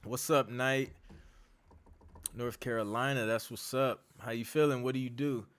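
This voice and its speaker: A man who is losing his voice, hoarse and clearing his throat, hosting a casual live Q&A as a music producer and chatting in a laid-back, slangy way. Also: medium pitch, steady tone, a little slow, fairly bright tone. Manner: laid-back, slangy, reads out viewer comments. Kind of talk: casual livestream chat.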